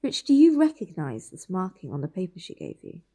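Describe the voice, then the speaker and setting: Worried british woman in an audio drama, keeps pressing for answers, soft mellow tone. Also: on the low side, huge pitch swings, brisk, heavily acted. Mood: concerned, probing. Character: anxious, insistent woman questioning her relatives in hospital. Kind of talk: naturalistic audio drama acting.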